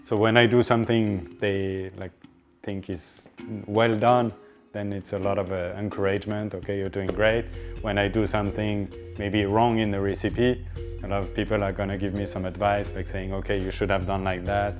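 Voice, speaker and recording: A middle-aged man from northern France with a French accent, a chef speaking informally in an interview in a halting, explanatory way with pauses mid-phrase. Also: lowish voice, little pitch movement, music in the background.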